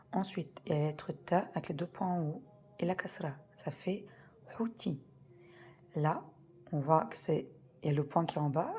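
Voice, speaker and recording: Low, mellow-voiced woman teaching slowly. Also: a little hiss or hum. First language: French. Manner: slow deliberate spelling-out of sounds, repeats key words. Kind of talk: patient step-by-step reading lesson.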